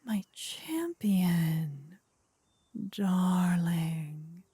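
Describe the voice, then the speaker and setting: A woman voicing a sinister, possessive demon queen in a breathy, partly whispered ASMR fantasy roleplay, with an imperious, seductive, savoring manner. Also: on the low side, lively intonation, very slow, warm tone, no room sound, full character voice. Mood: tender, seductive.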